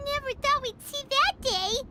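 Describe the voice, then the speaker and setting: An actress voicing a tiny, chirpy female fairy companion in a video game, with a high, squeaky, childlike voice. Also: noisy background. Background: game music.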